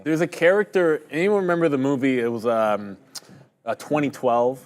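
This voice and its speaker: A man's voice: medium pitch, normal speed, a little mellow. A man on a live trading stream, walking through his chart calls in a loose, rambling way. He pokes fun at his own mistakes as he goes. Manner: rambling, conversational, self-deprecating. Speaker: day-trading stream host. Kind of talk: live market commentary.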